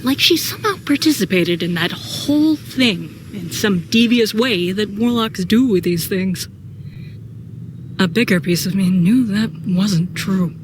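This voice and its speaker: A woman's voice: mid-range voice, close to an everyday voice. A woman narrating a sci-fi audio drama in first person as a troubled, brooding soldier. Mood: brooding, wary. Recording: clear background noise and ambience.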